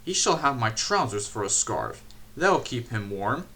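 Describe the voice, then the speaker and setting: A man voicing a stern, portly male railway boss who blusters loudly and indignantly. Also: medium pitch, very animated, unhurried, heavily acted. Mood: cross.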